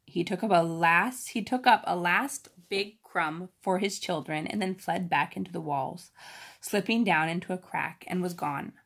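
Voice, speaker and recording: A woman reads a children's story aloud with expressive emphasis, pausing to explain it informally. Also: on the low side, gravelly. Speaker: storybook reader.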